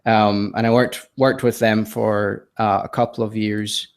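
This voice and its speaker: A man in his thirties from Scotland, his accent softened by years in the US. He is a podcast guest telling his story in casual chat, hesitant and self-deprecating, with plenty of ums and restarts. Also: on the low side, level tone, measured pace, clean tone. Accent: Scottish, softened by years in the US.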